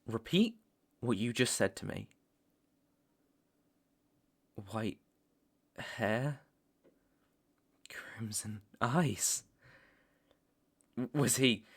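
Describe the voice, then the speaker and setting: A young British man playing a stern, taunting vampire hunter in a roleplay audio drama, speaking deliberately and with cool menace. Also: mid-range voice, husky, exaggerated voice. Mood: probing, intent. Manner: slow, deliberate, threatening.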